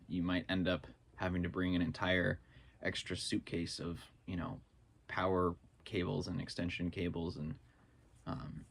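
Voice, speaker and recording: A man's voice: sits low, vocal fry. A man talking casually to viewers, explaining things informally as a vlogger and thinking aloud in loose phrases. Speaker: vlogger on live sound gear.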